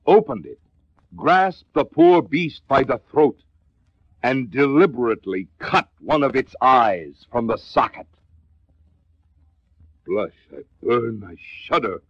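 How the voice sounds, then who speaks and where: An actor playing a brooding male narrator confessing a Gothic horror tale, in a theatrical, deliberate 1950s dramatic reading with formal diction, on a vintage record. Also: mid-range voice, breathy edge, no room sound. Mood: feverish, horrified. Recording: dull vintage 1950s spoken-word record.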